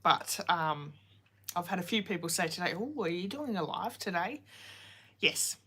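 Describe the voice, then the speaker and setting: Woman, forties, slightly low voice, sore throat from a cold, chatty livestream host greeting viewers. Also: lively intonation, moderate pace, sharp tone, slightly rough. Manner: chatty, greets viewers by name, rambling asides. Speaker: card-making livestream host. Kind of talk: casual livestream chat with viewers.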